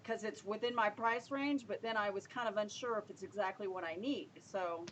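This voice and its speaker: A woman's voice: medium pitch, moderate pace, rough recording. A woman hosting a casual online show, talking in a rambling way and often rephrasing herself. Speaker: show host.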